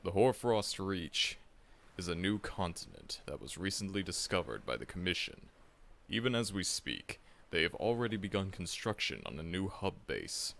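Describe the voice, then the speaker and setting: A man's voice: low voice, big pitch swings, moderate pace, creaky, a bit bright, heavily acted. A man voicing a seasoned, confident monster hunter who acts as a friendly mentor, reassuring the listener, calling them kid and chuckling often in an ASMR audio roleplay. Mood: encouraging.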